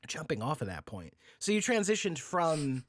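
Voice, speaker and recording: A man's voice: on the high side, very animated, moderate pace, no room sound, clean studio sound. A man hosting a podcast in a casual chat style, informal and joking, often repeating words. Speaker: podcast host.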